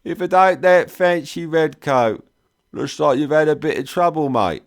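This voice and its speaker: A man's voice: fairly high pitch, moderate pace, pitched above the performer's own voice, natural voice. A man voicing a scarred, cheery and sly cockney whiskey trader who drawls and calls everyone mate. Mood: chummy. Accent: London cockney.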